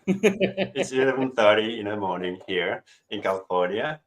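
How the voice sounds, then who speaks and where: A man's voice: lowish voice, sing-song, measured pace. A middle-aged man from Japan with a Japanese accent, chatting as a guest over a video call, self-joking and hesitant with fillers. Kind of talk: casual interview chat.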